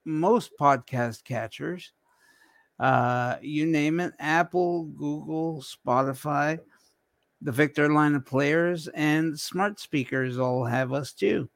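A man hosts a casual podcast with a slightly high voice and an unhurried, drawn-out delivery. He stops and repeats words as he goes. The sound is dry and close. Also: creaky, no echo. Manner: slow, halting, repeats words. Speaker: host.

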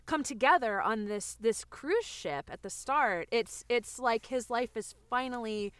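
A smooth, clear, higher-pitched female voice in a dry recording a gushing book lover. She chats effusively and repeats herself for emphasis, an amateur podcaster in an improv comedy. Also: lively intonation, measured pace, a bit bright, dry recording. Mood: animated recapping. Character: gushing, bookish female amateur podcaster, excitable writer friend. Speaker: co-host of a book review podcast.

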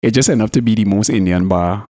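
A man with a Trinidadian accent co-hosting a podcast, bantering in casual slang and telling stories in an animated, coarse, sweary way. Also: fairly low pitch, quick pace, no room sound. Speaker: podcast co-host.

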